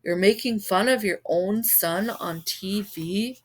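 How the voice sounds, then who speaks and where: A woman's voice: medium pitch, slow pace, rough recording. A woman voicing a brash male news anchorman who asks punchy, goading questions. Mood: incredulous. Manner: punchy, taunting interview questions.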